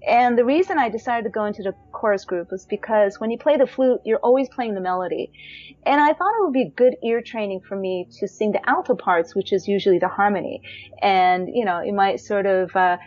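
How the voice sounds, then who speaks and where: A woman in her thirties with a high voice, reflectively telling her life story in a chatty way, full of fillers and restarts. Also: brisk, a little mellow, muffled recording. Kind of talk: personal storytelling.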